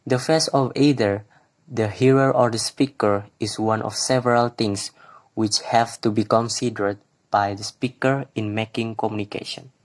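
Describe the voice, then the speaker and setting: A man's voice: on the low side, steady tone, normal speed. A young man with an Indonesian accent, a student presenting class slides who switches into Indonesian to explain, with hesitant fillers. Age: young adult. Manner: plain reading, with hesitant fillers when explaining.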